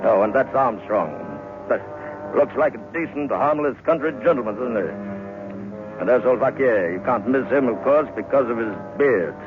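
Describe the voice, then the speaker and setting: A man's voice: medium pitch, animated, normal speed, natural voice. Man acting a ghoulishly chatty waxworks manager, tinny old radio. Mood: gloating, familiar. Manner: chatty, hesitant, relishing the gruesome. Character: garrulous waxworks manager, ghoulishly proud of his murderers. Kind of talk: 1940s radio horror acting. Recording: thin old radio recording, music underneath.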